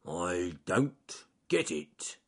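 A man voicing a big gentle male bear who talks slowly and deliberately with a growl. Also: deep voice, big pitch swings, slightly rough, heavily acted. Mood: ponderous.

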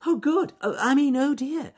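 A man voicing a small, timid, dithering male rabbit in a storybook, with a high squeaky falsetto and a fretful manner. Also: expressive, normal speed, vocal fry, a little mellow, slightly rough, exaggerated voice.

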